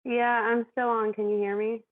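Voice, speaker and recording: A young American woman, a first grade teacher, speaking earnestly and chattily on a video call, with an ear infection that makes her talk loudly. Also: a little high, level tone, moderate pace, dry recording. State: has an ear infection, may sound loud. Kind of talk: online panel talk. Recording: dry, phone-like video call audio.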